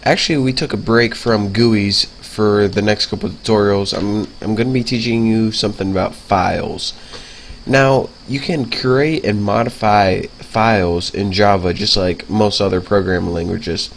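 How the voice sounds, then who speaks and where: A man's voice: lowish voice, normal speed, a little hiss or hum. Stuffy-nosed young man teaching code. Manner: casual, filler-heavy, talks while typing. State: stuffy nose from a cold. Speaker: programming tutor. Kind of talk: casual screencast coding lesson.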